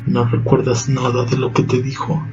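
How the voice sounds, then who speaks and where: Brief: man, flat monotone, solemn horror host, dull lo-fi recording. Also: medium pitch, music in the background. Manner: slow, solemn, ceremonious. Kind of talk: scripted radio drama hosting. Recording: dull lo-fi sound.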